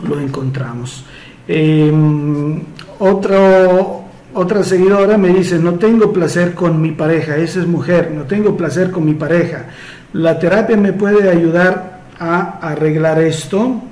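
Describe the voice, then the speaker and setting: A man who is not a native Spanish speaker, talking slowly and hesitantly as a therapist answering follower questions, with frequent fillers. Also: a little high, darkish tone, reverberant. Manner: slow and measured, many hesitations and fillers. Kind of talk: casual solo Q&A monologue.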